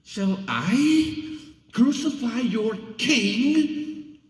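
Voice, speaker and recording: A man playing a commanding, exasperated Roman governor with loud, theatrical declamation in a dramatic scripture reading. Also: extremely high pitch, animated, drawn-out words, roomy sound, in a large hall, far from the mic, voice pushed up, heavily acted. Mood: goading.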